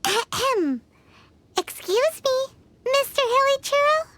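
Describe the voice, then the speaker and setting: Squeaky tiny childlike fairy companion. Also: female voice, lively intonation, slow, heavy background noise, heavily acted. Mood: chirpy. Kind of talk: video game character voice acting. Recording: music behind the voice.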